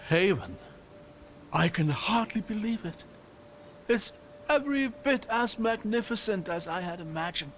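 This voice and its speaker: An actor playing a curious, learned scholar in a fantasy video game, articulate, formal and thoughtful. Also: male voice, high voice, lively intonation, unhurried, long pauses, fairly bright tone, a little hiss or hum. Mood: awed. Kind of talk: dramatic video game acting.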